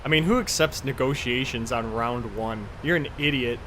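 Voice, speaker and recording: A man's voice: mid-range voice, moderate pace, bright, forceful tone, slight background noise, poor audio. A man live streaming a flight simulator in casual chat, rambling in an offhand, mildly profane way.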